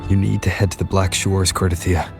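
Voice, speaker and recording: An actor playing a calm, thoughtful wandering hero in a video game, with a measured, reassuring manner. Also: male voice, low-pitched, vocal fry. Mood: calm, advising. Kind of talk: scripted game dialogue. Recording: studio voice under prominent game music.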